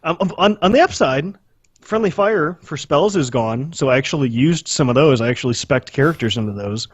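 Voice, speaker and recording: A man's voice: on the high side, lively intonation, brisk, breathy edge, no room sound. A man co-hosting a podcast, chatting casually about video games in a blunt, sweary, exaggerating way. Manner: blunt, profane, hyperbolic. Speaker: podcast host. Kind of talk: casual video game discussion.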